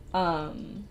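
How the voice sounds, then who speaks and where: A young woman chatting casually on a livestream, soft-spoken and rambling, often laughing. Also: fairly low pitch, a little hiss or hum. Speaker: streamer. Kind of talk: casual livestream chat while drawing. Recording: faint music behind. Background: faint background music.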